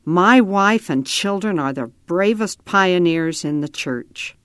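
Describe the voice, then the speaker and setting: A woman voicing a steady, reassuring pioneer father in a children's story, calm and plain-spoken. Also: on the low side, slow pace, smooth, no echo, studio quality. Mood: proud, relieved.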